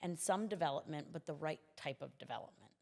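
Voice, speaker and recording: A woman's voice: sits low, lively intonation, a little fast, vocal fry, darkish tone, long reverb tail. A woman speaking as a city commissioner in a conversational interview, explaining things earnestly.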